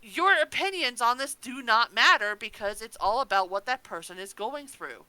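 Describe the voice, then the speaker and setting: Female podcast co-host. Sharp, animated delivery, emphatic casual opinions, unafraid to curse. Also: a little high, normal speed, clear, resonant tone, dry recording, low-quality recording. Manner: emphatic, ranting, freely swearing.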